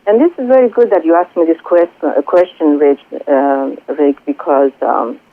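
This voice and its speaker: Woman, Greek accent, spiritual coach, earnest, halting with many pauses, tinny phone line, dry sound. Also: low-pitched, no echo. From Greece. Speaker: spiritual coach and Reiki healer. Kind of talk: radio interview guest by phone. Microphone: telephone.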